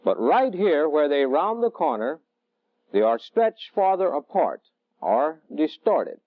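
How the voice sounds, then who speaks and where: A middle-aged man lecturing as a military technical instructor in a deliberate, didactic way, on a vintage training film soundtrack. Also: on the high side, sing-song, no room sound, narrow, tinny audio. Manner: deliberate, didactic, measured phrasing. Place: indoor training film studio.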